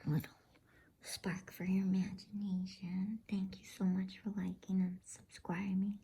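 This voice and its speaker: A woman whispers a bedtime story in a soft, breathy, gentle ASMR style. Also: mid-range voice, flat delivery, measured pace. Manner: gentle, hushed, soothing. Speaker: host and bedtime story reader.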